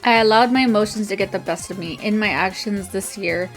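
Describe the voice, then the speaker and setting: A woman's voice: medium pitch, brisk, faint music behind. A woman reading a written apology statement aloud.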